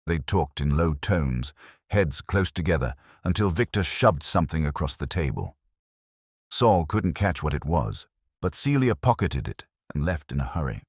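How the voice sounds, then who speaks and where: Very deep-voiced man narrating detective fiction. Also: dry recording, clean studio sound. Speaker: audiobook narrator. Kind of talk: first-person hardboiled detective narration.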